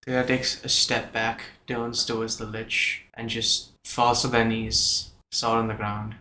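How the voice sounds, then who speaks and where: A man's voice: medium pitch, completely flat delivery, slow pace, bright, forceful tone, reverberant, slight background noise. A man playing a tabletop game, narrating his character's actions.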